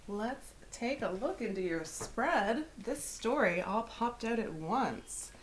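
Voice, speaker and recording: A woman with a head cold and a slightly raspy throat, hosting and talking through a weekly tarot card reading in a soft, unhurried way. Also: fairly high pitch, lively intonation, a little hiss or hum. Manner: soft, unhurried, with whispered invocations. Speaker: tarot reader.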